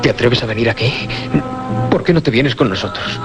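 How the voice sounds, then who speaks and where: A man's voice: medium pitch, animated, moderate pace, lightly acted. A man playing an anxious, defensive young student in a radio drama, agitated and full of questions, over music. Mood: uneasy, urgent. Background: loud suspense music.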